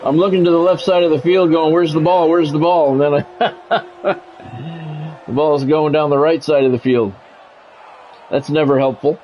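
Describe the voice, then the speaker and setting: Higher-voiced man calling a sports game. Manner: blunt, sweary, exasperated. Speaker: video game streamer and play-by-play commentator. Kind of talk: sports game play-by-play mixed with casual reactions. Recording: dull lo-fi audio, faint background noise.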